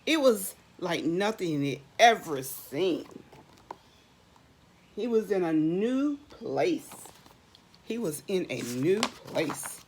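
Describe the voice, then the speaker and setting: A woman's voice: fairly low pitch, very animated, slow pace, long pauses, darkish tone, a little hiss or hum. A middle-aged woman doing a read-aloud storytime for young children, expressively posing questions and answering them, drawing out and repeating words for emphasis. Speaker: children's storybook reader, teacher.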